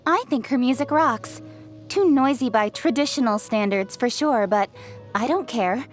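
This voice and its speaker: A woman's voice: a little high, lively intonation, moderate pace, soft background music, lightly acted. A woman voicing a confident, opinionated young official in a fantasy video game, articulate and frank. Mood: brisk, opinionated. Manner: articulate, self-assured, frank. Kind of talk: scripted video game character voice lines.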